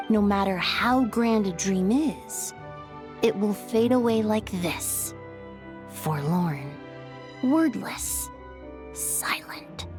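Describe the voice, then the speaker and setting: Proud clockwork doll, female, sardonic, huffy, lively pitch, measured pace, anime game, music behind. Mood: solemn. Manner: haughty, huffy, sighing. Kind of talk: anime video game voice acting. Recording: loud music underneath. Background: background music.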